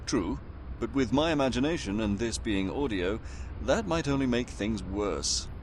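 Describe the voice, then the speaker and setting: A man's voice: medium pitch, lively intonation, moderate pace, a little hiss or hum, natural voice. A man playing a very proper British narrator in a comedy audio drama, dryly pompous and wordy. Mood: droll, prim.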